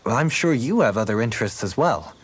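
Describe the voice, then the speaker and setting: A man's voice: medium pitch, lively intonation, brisk, breathy edge. A man playing a cultured, gracious nobleman who speaks formally and eloquently, in video game dialogue. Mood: encouraging, thoughtful. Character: cultured, courtly nobleman, gracious, reflective, music-loving. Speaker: video game party member. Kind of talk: English-language video game character dialogue.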